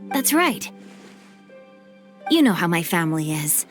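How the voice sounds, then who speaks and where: A woman voicing a polite, bookish, evasive youngster in a video game, smooth-talking and tactful. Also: fairly low pitch, big pitch swings, unhurried. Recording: studio voice over faint music.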